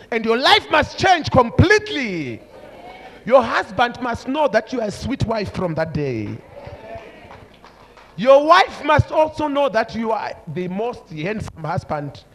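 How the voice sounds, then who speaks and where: A man's voice: high voice, slight background noise. A man in his forties with a Zimbabwean accent preaching a sermon, emphatic and repeating short phrases. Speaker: preacher, church overseer. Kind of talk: sermon switching between English and another language. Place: large church hall before a congregation. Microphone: handheld microphone.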